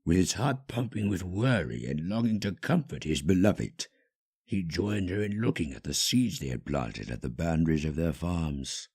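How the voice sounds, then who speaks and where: A man narrating a scripted story dramatically, with measured, hushed phrasing and pauses. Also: low-pitched, expressive, slightly rough.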